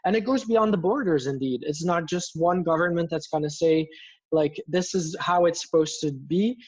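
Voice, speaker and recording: A man's voice: fairly high pitch, moderate pace, slightly rough. A man in his thirties from Belgium, a native Dutch speaker with a Belgian Dutch accent, talking enthusiastically as a guest over a video call. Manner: enthusiastic, talks with his hands. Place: home room with plants and posters. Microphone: headset headphones on video call. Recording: muffled lo-fi video call audio.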